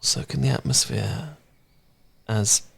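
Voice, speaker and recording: A man playing a smitten, bashful housemate in an intimate romance audio roleplay, speaking gently and sometimes haltingly. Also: fairly low pitch, very animated, gravelly, breathy edge, exaggerated voice. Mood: soft, affectionate. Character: smitten, bashful male housemate, tender poetry reader.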